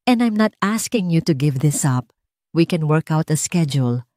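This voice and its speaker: A woman's voice: deep voice, animated, normal speed, mellow, no echo, clean studio sound. A woman with a Filipino accent voicing an earnest, decisive, wealthy single father in an audiobook. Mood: reassuring. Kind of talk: audiobook character dialogue.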